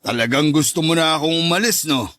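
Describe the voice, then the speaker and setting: A man's voice: a little high, a little fast, fairly bright tone, lightly acted. A man playing a mocking, domineering macho ex-husband in a radio drama, brash and taunting. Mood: mocking, needling. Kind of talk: Tagalog radio drama acting.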